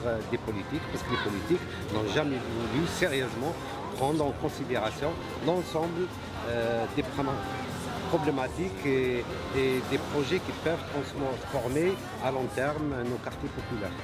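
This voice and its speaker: A man speaking as a community activist in a documentary interview, earnest, with long searching sentences and fillers. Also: mid-range voice. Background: loud music.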